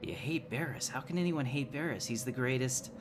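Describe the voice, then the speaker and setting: A man's voice: medium pitch, fairly quick, noisy background. A man hosting a game livestream, chatting in a casual, rambling, easygoing way as he comments on play. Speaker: game streamer, host.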